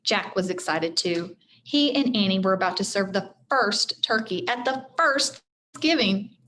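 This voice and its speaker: A woman reading a children's chapter book aloud in an expressive teacherly style, speaking directly to her young listeners. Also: mid-range voice, unhurried, a little hiss or hum. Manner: addresses young listeners directly, acts out dialogue.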